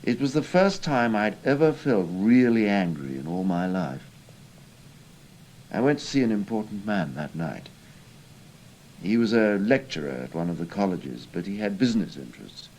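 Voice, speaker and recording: A man's voice: lowish voice, big pitch swings, moderate pace, pauses often, a little mellow, a little hiss or hum, low-quality recording. An actor playing an idealistic, indignant young Cambridge man in a radio drama, earnest and hesitant, with an English accent. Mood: moved, grave. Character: idealistic, indignant young British Cambridge student turned spy.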